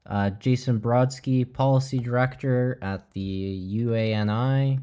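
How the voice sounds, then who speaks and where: A man hosting a livestream with casual live commentary, speaking in a measured, hesitant way. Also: medium pitch, steady tone, warm tone, clean tone. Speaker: livestream host.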